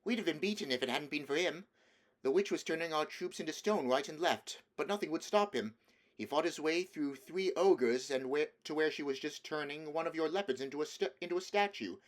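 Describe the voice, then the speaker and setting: Man playing an earnest boy, raised pitch. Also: expressive, a little fast, lightly acted. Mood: earnest, urgent. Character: earnest, stern boy, eldest brother, in a war tale.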